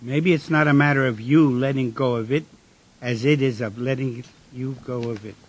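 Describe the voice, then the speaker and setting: A man performs a disillusioned engineer in a radio drama. His voice is middling-low and distinctly raspy, with a weary bitterness. He speaks in rhetorical, declamatory turns. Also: fairly even intonation, moderate pace, natural voice. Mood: guarded, bitter. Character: Francis, bitter, disillusioned male engineer worn down by fighting.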